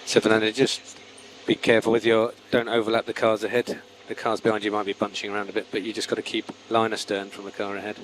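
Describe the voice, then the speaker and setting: Brief: male race engineer on headset radio, low-ish voice, brisk and procedural, faint noise behind. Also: rough recording. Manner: calm, procedural, repeats key words. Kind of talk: team radio instructions.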